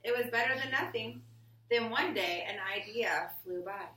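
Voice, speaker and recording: A woman teacher reading a picture book aloud to her class in a deliberate storytelling way and prompting pupils with questions. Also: fairly high pitch, sharp tone. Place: indoor classroom. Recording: roomy classroom, distant mic, faint noise.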